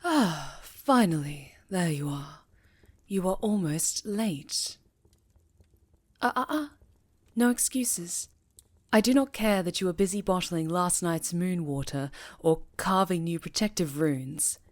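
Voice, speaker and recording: Stern witch mentor played by a woman, low voice, imperious and formal, dry studio sound, ASMR roleplay. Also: takes it slowly, no echo. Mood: scolding, exacting. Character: stern, exacting high enchantress witch, mentor to pupil. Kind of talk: fantasy ASMR roleplay acting.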